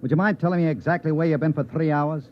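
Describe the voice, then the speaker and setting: An actor as a quick, stern male newspaper boss. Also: on the high side, lightly acted. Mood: stern, sarcastic. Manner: clipped, sarcastic, stern. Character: hard-nosed, impatient male newspaper boss. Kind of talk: 1950s radio drama acting. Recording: slightly dull, hissy 1950s radio recording.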